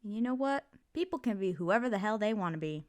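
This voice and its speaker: A woman playing a supportive, doting mother in an ASMR roleplay, talking in a gentle, reassuring, slightly rambling way. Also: mid-range voice, animated, quick pace, close to an everyday voice. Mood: thoughtful, rambling. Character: supportive, doting, chatty mother comforting her child. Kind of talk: ASMR roleplay, intimate one-sided conversation.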